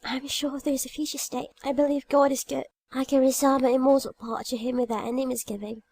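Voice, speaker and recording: A woman playing a gentle, pious young girl who is dying, speaking softly and weakly in a dramatic audiobook reading. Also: high-pitched, brisk. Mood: devout, calm. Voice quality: soft, weak, breathy. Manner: calm, gentle, tender.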